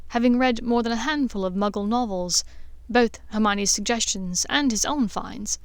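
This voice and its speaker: A woman's voice: on the high side, moderate pace, clean tone, dry recording. A woman reading a scripted story as an audiobook narrator. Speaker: audiobook narrator of fan fiction.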